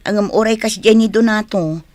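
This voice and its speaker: A Filipino actress playing a chatty, gossipy niece in a radio drama. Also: female voice, mid-range voice, a little fast, darkish tone, dry recording, natural voice.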